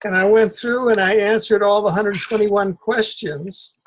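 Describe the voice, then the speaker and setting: An older man hosting a conversational interview, speaking in a halting, deliberate way. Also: high voice, fairly even intonation, poor audio. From Cuba. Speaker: interview host.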